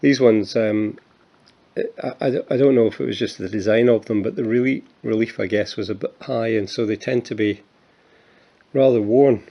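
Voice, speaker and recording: A man in his fifties with a Scottish accent talks in a fairly low voice, chatty and self-deprecating, often stumbling over his words. The recording is dull and lo-fi, like a home camera mic. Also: moderate pace. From Scotland. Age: 50s. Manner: chatty, self-mocking, many fillers and restarts. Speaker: coin collector. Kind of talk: casual show-and-tell vlog.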